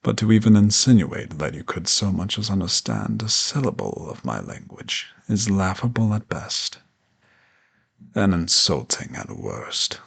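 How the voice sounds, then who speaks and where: A very low, husky dragon, played by a man, lecturing as a haughty old professor in an audio roleplay. His delivery is pompous and ornately formal, swinging widely in pitch with condescending grandeur. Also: sing-song, a little slow, full character voice. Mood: haughty, mocking. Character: haughty ancient dragon professor, male, condescending yet flirtatious. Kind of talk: scripted audio roleplay monologue addressed to the listener.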